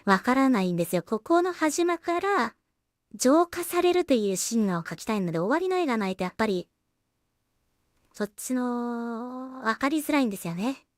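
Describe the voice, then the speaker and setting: A woman with a fairly high voice talks casually through her work on screen. She thinks aloud in short, trailing remarks, as if half to herself. The sound is dry, with little room around the voice. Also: lively intonation, moderate pace, no room sound. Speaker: solo animation creator and streamer. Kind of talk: casual work-along commentary, muttering to self.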